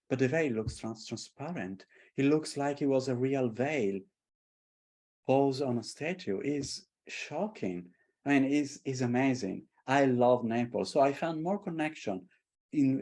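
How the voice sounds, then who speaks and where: A middle-aged man from Italy with a strong Italian accent, telling stories with passion in a casual conversation. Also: medium pitch. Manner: passionate, animated storytelling. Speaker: artist and tarot creator. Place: London.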